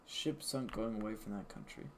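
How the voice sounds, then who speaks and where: A man's voice: fairly low pitch, moderate pace. A man streaming a game, chatting casually with viewers and thinking aloud with many restarts. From English-speaking country. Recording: background keyboard clicks and noise. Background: keyboard typing and mouse clicks.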